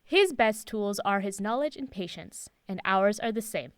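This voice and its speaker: A patient, cryptic woman mentor, played by an actress in an audio drama. Her voice is mid-pitched and very dryly recorded. She sounds measured and sure of herself, like a professor holding something back. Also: animated, clear, resonant tone, no echo, studio quality, natural voice. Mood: patient, instructive. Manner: measured, guarded, teacherly, fond of sayings.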